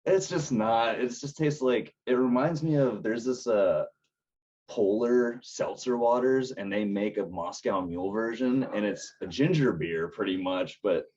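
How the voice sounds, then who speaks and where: Husky-voiced young man, laid-back chat. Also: medium pitch, unhurried, highs cut off. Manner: relaxed, rambling, laughs often. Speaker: band vocalist. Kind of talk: casual podcast chat.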